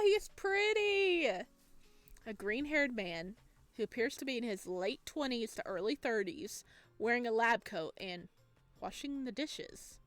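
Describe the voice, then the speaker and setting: A woman reading a video game's text aloud and chatting with expressive, excited reactions as she plays. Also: sits high, dry recording. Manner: chatty, expressive asides between read lines. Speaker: video game streamer, let's player. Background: game music.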